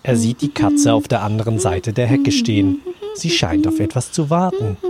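A man's voice: mid-range voice, wildly swooping pitch, moderate pace, a loud crowd. A man narrating a scripted German radio play as a storyteller in the present tense.

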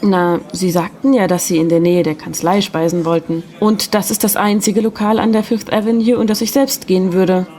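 A woman playing a friendly, gently teasing secretary in an audio drama. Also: mid-range voice, fairly even intonation, moderate pace, few pauses, lightly acted. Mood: friendly, modest. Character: friendly, gently teasing young female secretary, slightly mysterious. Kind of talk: German audio drama dialogue.

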